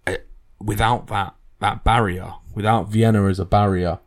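Deep-voiced man hosting a podcast. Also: fairly even intonation, normal speed. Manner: rambling, earnest, many fillers. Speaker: history podcast host. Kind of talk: casual podcast monologue.

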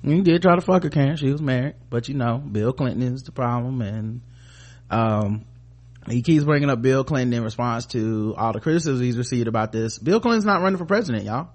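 A man's voice: medium pitch, animated, a little fast. Man podcasting in loose, profane slang. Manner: informal slang, profane, rambling. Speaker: podcast host. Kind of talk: casual conversational commentary.

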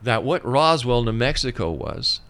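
A man with a medium-pitched voice hosts a radio interview. He explains in an emphatic, conversational manner, sometimes shifting into reading out information. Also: very animated, moderate pace, vocal fry, a bit bright. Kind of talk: conversational interview with read-out facts.

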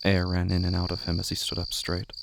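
A man reading scripted literary horror in a solemn, poetic and deliberate way. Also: sits low, gravelly, very noisy. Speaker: narrator of a horror fiction podcast. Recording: prominent music behind the voice. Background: music.

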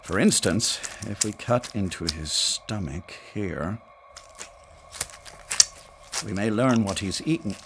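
A man playing a sober, matter-of-fact colonial doctor in a period radio drama, with a measured, explanatory delivery. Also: lowish voice, animated. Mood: intent. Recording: clear ambient noise behind.

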